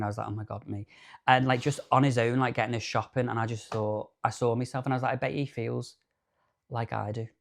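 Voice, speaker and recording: A man in his thirties co-hosting a podcast, chatting in an animated, campy way. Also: medium pitch. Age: 30s. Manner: animated, chatty, camp asides. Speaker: podcast co-host. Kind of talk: casual chat.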